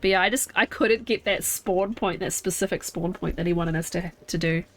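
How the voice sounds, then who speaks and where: A woman's voice: on the low side, normal speed. Stuffy-nosed woman chatting through gameplay. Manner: chatty, thinking aloud while playing. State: stuffy nose, congested. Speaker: game streamer. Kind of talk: casual live gameplay chat. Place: at home.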